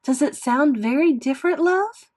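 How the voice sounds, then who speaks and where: A woman's voice: high-pitched, animated, moderate pace, a little mellow, pitched above the performer's own voice. A woman voicing a caring, emotional mother in a storybook read-aloud. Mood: tender, eager.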